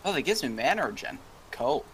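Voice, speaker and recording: A man's voice: mid-range voice, brisk, slight background noise. A young man chatting casually while playing a game, swearing and laughing often. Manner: excitable, swears, yells and laughs. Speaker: gamer co-host. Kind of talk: casual gameplay chat.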